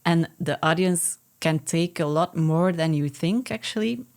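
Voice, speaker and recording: A woman's voice: fairly low pitch, unhurried, a little mellow. A woman with a Dutch accent, a musician guest, answering questions thoughtfully and hesitantly in a casual interview.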